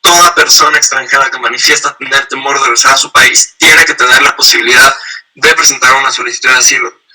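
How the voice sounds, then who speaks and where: Guy lecturing over a video call, super bright voice, explains things step by step. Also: mid-range voice, fairly even intonation, fast, faint music behind, rough recording. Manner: explanatory, uses acted dialogue examples. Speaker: lecturer on asylum law. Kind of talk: online training lecture.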